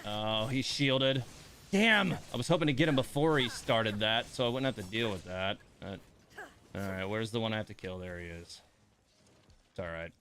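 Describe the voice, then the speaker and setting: A man doing casual live gameplay commentary, rambling and thinking out loud. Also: fairly low pitch, moderate pace, a little hiss or hum. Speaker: live streamer.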